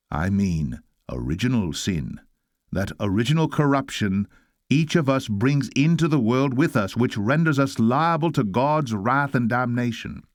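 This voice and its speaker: A man's voice: medium pitch, no echo, studio quality. A man reading an old sermon aloud in a solemn, oratorical style, exhorting listeners with weighty rhetorical delivery. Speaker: reader of a historic sermon.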